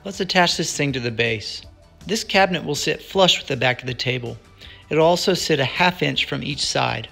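A man giving scripted step-by-step voice-over narration for a DIY woodworking build, in a plain, measured instructional tone. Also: fairly high pitch, lively intonation, vocal fry, pushed and bright, faint music behind.

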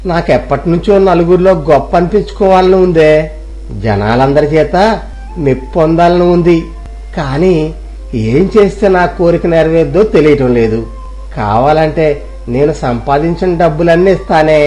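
A man's voice: fairly high pitch, moderate pace, mellow, faint music behind, lightly acted. A man voicing a naive rustic village farmer. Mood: pleading. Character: young village farmer craving fame, naive. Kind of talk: storybook voice acting.

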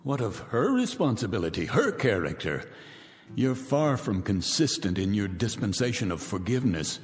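An actor playing a bitter, vengeful millionaire in a radio drama, speaking with sardonic, icy taunts. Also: male voice, on the low side, very animated, moderate pace, big-room sound, soft background music. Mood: cold mocking. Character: bitter vengeful male millionaire, cold and mocking.

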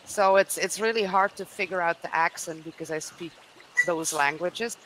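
A woman's voice: fairly low pitch, moderate pace, fairly bright tone, a little hiss or hum, low-quality recording. A woman from Belgium with a light Belgian French accent, chatting and laughing while playing a game on a livestream and greeting viewers. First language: Dutch, French and German. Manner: giggly, chatty, reads out viewer comments. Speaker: livestream co-player. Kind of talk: casual gaming chat.

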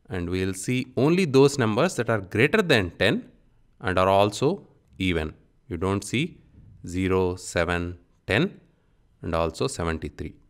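A man with a low, very clear and smooth voice teaches programming. He speaks in short instructional phrases, dictating each step of a software tutorial as he goes.

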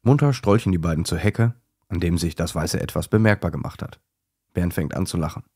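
A man's voice: deep voice, a little fast, warm tone, breathy edge, no room sound, clean studio sound. A man narrating a scripted children's bedtime story.

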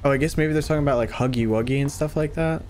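Casual young man over clear background noise. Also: fairly high pitch, rapid-fire, a little mellow. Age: 20s. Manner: informal, slangy. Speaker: video host reacting to horror videos. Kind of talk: casual reaction commentary. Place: small room at home, indoors. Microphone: desk microphone close to the mouth, headset on.

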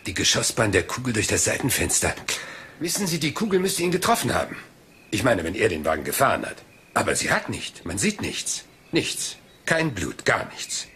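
An actor playing a polite, seemingly scatterbrained police inspector in a crime drama, hesitant, rambling and deferential. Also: male voice, fairly low pitch, very animated, normal speed, bright, forceful tone, slight background noise. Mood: puzzled, probing. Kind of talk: German crime drama dialogue.

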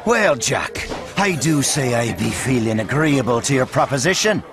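A man's voice: mid-range voice, sing-song, normal speed, music in the background. A man voicing a hearty, boozy pirate first mate in a video game, salty and jovial. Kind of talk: video game cutscene acting.

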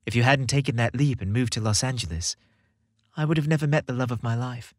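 A man voicing an affectionate young boyfriend in a story, soft and tender. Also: medium pitch, fast, dry recording, studio quality, natural voice.

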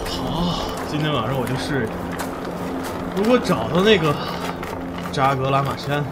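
A man's voice: mid-range voice, animated, normal speed, very noisy, lightly acted. Actor as a wry, streetwise male adventurer. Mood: reluctant. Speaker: lead actor and narrator. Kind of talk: radio drama acting and narration.

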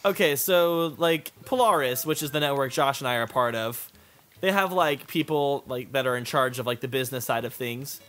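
A man's voice: mid-range voice, expressive, moderate pace, fairly bright tone. A man chatting casually as a gaming co-host, rambling and informal, with "like" scattered through his sentences. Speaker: gaming video creator, co-host. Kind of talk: casual chat over gameplay.

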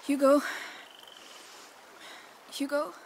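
A woman's voice: high-pitched, level tone, extremely slow, creaky, slight background noise, heavily acted. An urgent, protective teenage girl. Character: determined teenage girl protecting her brother. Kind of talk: video game cutscene acting. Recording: game audio with music.

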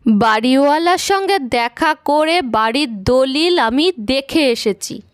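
Woman acting an eager, high-voiced wife. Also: animated, slow pace, no echo, clean studio sound. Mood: indignant, scornful. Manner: eager, emphatic, chiding. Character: excited, stubborn young Bengali wife and mother. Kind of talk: audio story acting.

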